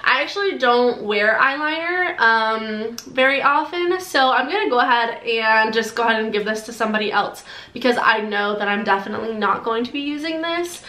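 A woman's voice: a little high, animated, bright, forceful tone, reverberant. A young woman in her twenties with a nasal, congested voice, chatting casually and gushing through a product unboxing to camera. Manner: bubbly, gushing, quick asides. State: sore throat, congested. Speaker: beauty vlogger. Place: decorated bedroom, indoors.

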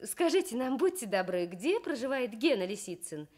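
Woman acting a calm, firm mother. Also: on the high side. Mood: polite. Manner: measured, gently probing. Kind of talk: Soviet 1960s children's radio storytelling.

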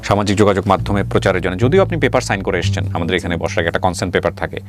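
A man's voice: deep voice, racing. A man with a Bangladeshi accent hosting a life-story interview show, speaking in a formal, explanatory way. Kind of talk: spoken show introduction and interviewing. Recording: loud background music under the voice. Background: music.

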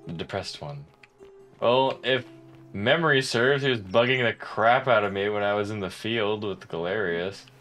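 A man's voice: lowish voice, moderate pace, a bit bright. A young man co-hosting a game playthrough, chatting casually into a headset at home. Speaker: let's play co-host. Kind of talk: casual gameplay chat. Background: game music.

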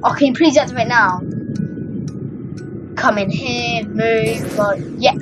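A woman's voice: on the high side, slow, loud music behind the voice. A young woman casually commenting on her game, exasperated and muttering to herself. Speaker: gamer. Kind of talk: casual let's-play commentary.

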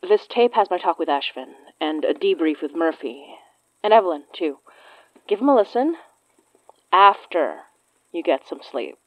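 A woman playing a guarded, gently concerned young woman in a naturalistic horror audio drama, speaking softly and hesitantly. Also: fairly low pitch, big pitch swings, moderate pace, no echo, lo-fi sound. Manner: hesitant, soft, evasive.

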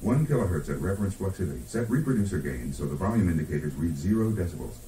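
A low-pitched man reads terse technical announcements in a formal, scripted way. He moves along quickly. The sound is an echoey tape playback with faint noise around it. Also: very animated, mellow.

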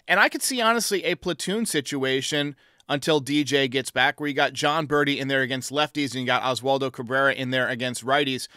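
Male sports talk host, thirties, forceful and clean-voiced, close on the mic in a studio. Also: on the high side, smooth, no echo. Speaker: host of a sports fan show. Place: small home studio, indoors. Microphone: close studio mic on boom arm.

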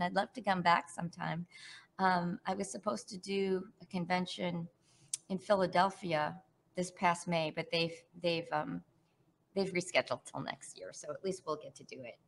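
A middle-aged woman, an actress, answering fan questions in a chatty, animated way over a headset on a video call from home. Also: mid-range voice. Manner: chatty, warm, animated, laughs often. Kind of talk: casual virtual panel Q&A.

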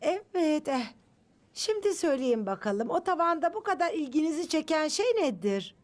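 A woman voicing a kindly, quick-thinking grandmother in a dubbed children's cartoon. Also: sits high, big pitch swings. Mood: curious. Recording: music under the voice.

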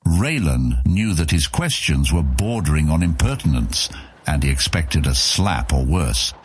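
A man narrating a fantasy audiobook in a measured storytelling manner. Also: extremely low pitch, sing-song, slightly rough.

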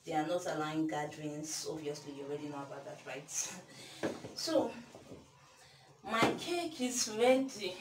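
A woman's voice: low-pitched, animated, measured pace, pauses often. A young Nigerian woman in her twenties with a Nigerian accent, chatting casually through a baking tutorial in a small home kitchen while she works. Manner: chatty, self-deprecating, talks while working. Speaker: baking vlogger. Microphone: camera mic from across the kitchen. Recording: echoey kitchen from far off, noisy.

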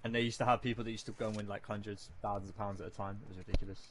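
Young man, quick slangy gamer chatter, gunfire noise behind. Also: on the low side, little pitch movement. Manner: laid-back, swears, slangy banter. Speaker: streamer playing a shooter game. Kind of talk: casual gaming chat. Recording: game gunfire under the voice.

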